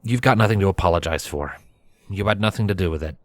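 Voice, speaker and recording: A man's voice: deep voice, fast, no echo, close to an everyday voice. A man playing an awkward, flustered, self-deprecating male hero who speaks hesitantly and stammers, in a fantasy story. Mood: earnest.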